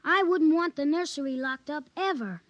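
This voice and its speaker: A woman's voice: high voice, normal speed, no room sound, highs cut off, lightly acted. An American woman acting a spoiled, coldly insistent young son in a 1950s radio drama. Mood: pleading.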